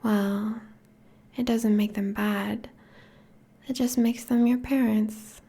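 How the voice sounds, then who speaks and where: A woman playing a possessive, teasing yet tender vampire girlfriend in an intimate, whispery ASMR roleplay, speaking softly and coaxingly. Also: fairly high pitch, heavily acted. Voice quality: breathy, whispery. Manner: slow, soft, coaxing.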